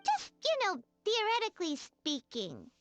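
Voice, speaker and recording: A woman voicing a bubbly, cheeky little girl in an animated video game style, eager and childlike, speaking informally. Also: extremely high pitch, a little slow, faint music behind, heavily acted. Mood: coy, playful. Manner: exclamatory, informal, with filler words.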